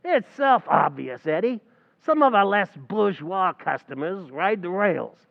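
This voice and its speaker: A man acting a scheming, fast-talking tavern manager with a gravelly, streetwise voice and mangled grammar, in an old-time radio comedy recreation. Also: high-pitched, big pitch swings, in a large hall, dull audio, exaggerated voice. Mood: wheedling. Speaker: stage actor in a radio play recreation.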